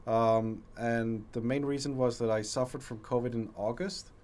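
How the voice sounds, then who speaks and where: A man hosting a casual livestream chat, talking haltingly with many fillers and restarts, his voice strained and deeper from a long illness. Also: steady tone, a little mellow. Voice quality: deeper, rough voice. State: voice strained from a long lung infection. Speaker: livestream host.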